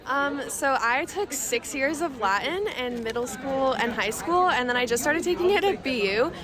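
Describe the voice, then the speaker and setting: A woman's voice: sits high, lively intonation, normal speed, noisy background. A woman student chatting offhandedly as an interviewee. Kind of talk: casual chat.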